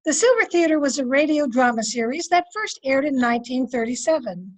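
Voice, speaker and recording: A woman's voice: high voice, expressive, a little fast, faint music behind, poor audio. A woman announcing and narrating an old-time radio drama from a script.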